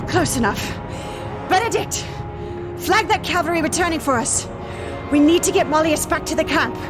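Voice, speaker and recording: A woman voicing a tough, protective female infantry captain who shouts urgent commands in an audio drama. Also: high-pitched, moderate pace, over loud music, close to an everyday voice.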